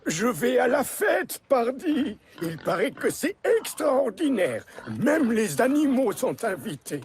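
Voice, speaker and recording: A jovial cartoon villager with a high male voice that swoops wildly. The delivery is exaggerated and hungry for fun. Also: very animated, slow pace, a crowd in the background, exaggerated voice. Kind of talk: French animated series dubbing.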